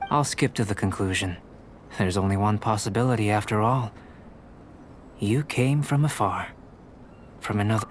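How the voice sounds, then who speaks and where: An actor playing a calm, curious young male alchemist who talks in a measured, formal, clinical way, in a game. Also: on the low side, slight background noise, natural voice. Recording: game audio with clear music behind.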